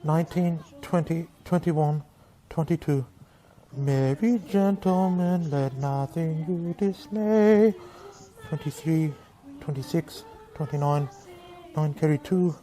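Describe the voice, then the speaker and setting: A woman's voice: sits low, takes it slowly, dark tone, faint music behind. A woman playing a meek, kindly male clerk in a radio play, timid and deferential. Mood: absorbed.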